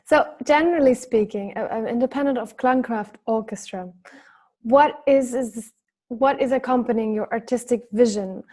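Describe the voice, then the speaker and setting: A woman's voice: a little high, normal speed, warm tone. Woman interviewer rephrasing her questions.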